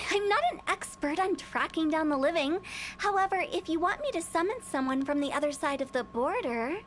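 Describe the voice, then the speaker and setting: A woman's voice: very high voice, lively intonation, normal speed, a little hiss or hum. A woman voicing a playful, eccentric young funeral parlor director in a video game, with a mischievous, theatrical and breezily morbid manner. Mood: teasing.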